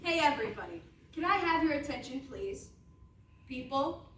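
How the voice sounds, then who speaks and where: Female actor as brash, imperious young princess, sharp and animated; distant stage sound. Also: high voice, normal speed, slight background noise. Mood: bossy, flippant. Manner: brash, sarcastic, casual. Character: bossy, flippant young princess running a show trial. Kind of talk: comic stage readers theatre. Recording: echoey room, far from mic.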